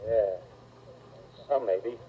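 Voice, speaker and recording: An actor playing a fanatical, scheming artilleryman in a 1930s radio drama, with rough, emphatic, colloquial speech. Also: lowish voice, drawn-out words, exaggerated voice. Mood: scheming, intense. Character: fanatical, scheming male artilleryman survivor of invasion. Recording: muffled, scratchy 1930s radio recording.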